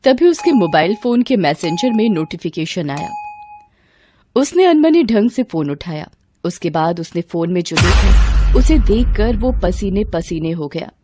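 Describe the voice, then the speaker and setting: A woman's voice: fairly low pitch, big pitch swings, a little slow, noisy background. A woman reading a scripted story as narrator, acting out its dialogue.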